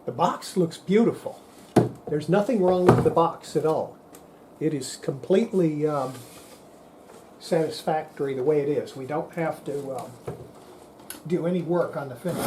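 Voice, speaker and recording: A woman's voice: sits low, unhurried, pauses often, noisy background. A woman hosting a repair video, talking casually to herself as she works, exasperated and mildly swearing. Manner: exasperated, mutters to self, mild swearing. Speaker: host of a radio repair video. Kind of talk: casual talk while working at a repair bench.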